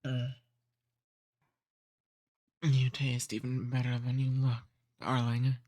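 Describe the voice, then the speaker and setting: Guy doing a flirty ancient vampire for asmr, real slow and teasing, kinda bossy but courtly, super dry close sound. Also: mid-range voice, completely flat delivery, a little mellow, no echo, lightly acted. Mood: hungry, savoring. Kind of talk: intimate ASMR roleplay.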